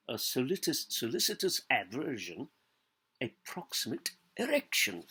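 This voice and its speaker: Male literary reader: husky, highly animated intonation, declamatory and deliberate, phrase by phrase. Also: poor audio. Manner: declamatory, deliberate, dwelling on lists and pausing between phrases. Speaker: actor giving a public literary reading. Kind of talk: theatrical reading aloud of literary prose.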